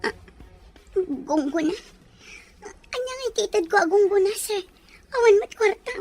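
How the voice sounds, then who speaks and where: A woman's voice: very high-pitched, animated, measured pace, darkish tone, dry recording, soft background music. A woman playing a frightened, submissive young housemaid pleading in an audio drama. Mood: nervous.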